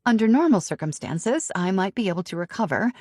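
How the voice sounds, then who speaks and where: A woman narrating a romance audiobook in first person with an expressive, emotionally charged reading. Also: medium pitch, brisk, no room sound, clean studio sound.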